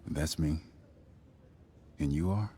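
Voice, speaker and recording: Tired friendly dad in a video game, super deep voice, slow and hesitant. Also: male voice, warm tone, slight background noise. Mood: polite. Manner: hesitant, plain-spoken. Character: weary down-on-his-luck middle-aged father, amiable, worried. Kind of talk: naturalistic video game acting.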